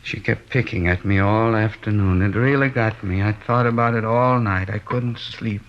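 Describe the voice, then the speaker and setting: An actor in a 1950s radio drama playing a henpecked, weary middle-aged American plasterer who rambles plaintively and hesitantly. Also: male voice, fairly low pitch, moderate pace, lightly acted. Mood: downcast, weary. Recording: dull, band-limited old radio recording.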